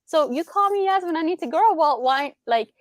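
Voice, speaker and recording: A woman's voice: very high voice, expressive, normal speed, dry recording, studio quality. A young adult woman from Iran, a business attorney, explaining in casual interview chat with lots of rhetorical questions.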